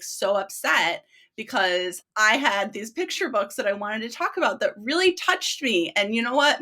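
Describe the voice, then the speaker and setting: A woman's voice: medium pitch, pushed and bright. Candid woman, informal podcast host. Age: middle-aged. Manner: informal, self-deprecating, candid. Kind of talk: casual chat, personal storytelling.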